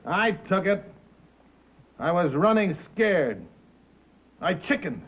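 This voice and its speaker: An actor playing a brash, cynical American wheeler-dealer in a 1950s film drama, with sarcastic, slangy, punchy delivery. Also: male voice, sits high, sing-song, slow, slightly rough, full character voice. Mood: defiant. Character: brash, cynical, mocking male broadcast-business wheeler-dealer. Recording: muffled old film soundtrack.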